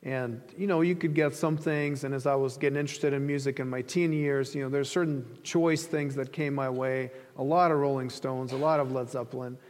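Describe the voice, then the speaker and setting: A man's voice: mid-range voice, fairly even intonation, brisk, few pauses, a little mellow. A man from Ukraine preaching a sermon as a pastor, in a conversational storytelling manner. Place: large reverberant room, preaching to an audience. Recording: hall reverb.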